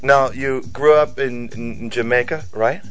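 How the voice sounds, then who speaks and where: A man's voice: mid-range voice, steady tone, unhurried, faint music behind. A man from Southern California hosting a reggae radio show, interviewing a guest and chiming in with friendly affirmations.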